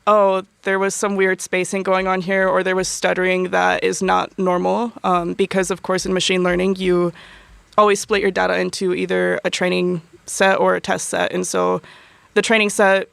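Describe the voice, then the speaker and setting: A woman's voice: lowish voice, completely flat delivery, normal speed, creaky, clear, resonant tone, no echo. A young woman, a university student, chatting casually as an interview guest, full of fillers and easy laughter. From United States.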